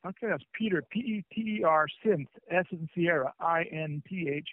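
Brisk, polite man on the phone. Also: fairly high pitch, dry recording. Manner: polite, formal, scripted. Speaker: plumbing company phone agent. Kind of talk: customer service phone call. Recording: tinny lo-fi phone call.

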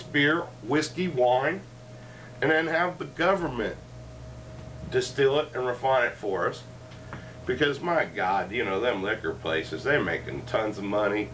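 A man's voice: mid-range voice, fairly bright tone. A middle-aged man hosts a loose, meandering chat at home, joking and drifting between asides. He sounds somewhat distant from a camera mic across the room. A faint wash of room noise sits underneath. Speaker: show host. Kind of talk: rambling casual chat. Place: cluttered living room, indoors. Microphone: fixed camera across the room.

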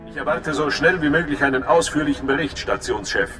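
A man's voice: fairly quick, music in the background, lightly acted. Stern imperial overseer played by a man, very echoey. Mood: formal, businesslike. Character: stern, formal male imperial intelligence overseer. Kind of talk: dramatic sci-fi game dialogue. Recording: echoey hall with faint rumbling noise.

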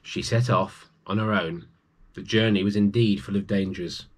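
A man's voice: fairly low pitch, steady tone, normal speed, background noise. A man in his forties reads a children's story aloud, adding chatty asides to his young listeners. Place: small room at home with bookshelves.

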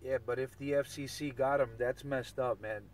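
A man's voice: mid-range voice, fairly even intonation, normal speed. A man chatting casually over CB radio, often repeating himself. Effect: CB radio transmission. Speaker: CB radio operator. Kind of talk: casual chat with repetitions. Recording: distant CB radio with light noise.

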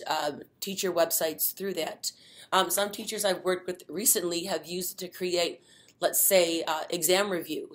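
A middle-aged woman presenting an instructional webinar from a desk webcam, explaining step by step with frequent ums and uhs. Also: lowish voice. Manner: many fillers, unhurried explaining.

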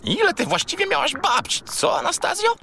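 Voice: very high voice, huge pitch swings, a little fast, vocal fry, sharp tone, slight background noise, heavily acted. An actor playing an earnest, quirky male furry little creature in a children's audio play, with a pompously polite manner. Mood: curious.

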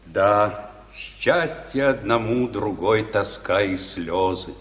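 An actor playing a brooding, fatalistic countryman, mournfully declaiming verse in a radio play. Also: fairly low pitch. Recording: echoey hall with long reverb.

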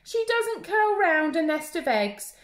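A woman voicing an anxious little lost baby monkey for a storybook, slow and emphatic. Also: very animated, full character voice. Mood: upset. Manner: slow, emphatic, high and insistent. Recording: roomy echo.

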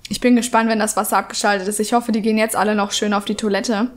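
A woman's voice: mid-range voice, level tone, quick pace. A woman with a cold chatting casually through her gameplay, rambling with many fillers at a desk microphone. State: has a cold. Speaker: let's play host.

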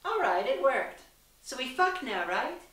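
A woman's voice: high voice, big pitch swings, a little slow, a bit bright, voice pushed up, exaggerated voice. A woman voicing a snarky, smug, bluntly flirtatious woman in a dramatised story reading. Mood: knowing. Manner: teasing, brazen, smirking. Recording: roomy, distant from the mic.

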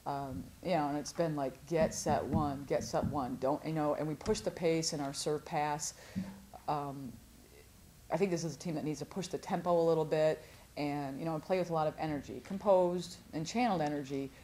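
A woman in her forties, a head volleyball coach, answering postmatch questions in an earnest, halting way with many restarts. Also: low-pitched, steady tone, mellow, a little hiss or hum. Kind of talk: postmatch interview. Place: studio before a sponsor backdrop.

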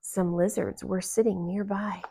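A woman reads aloud for children at a slow pace. Her pitch sits mid-range and her narration is expressive and deliberate, like a storytime host's. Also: gravelly, husky. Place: living room, indoors on a couch.

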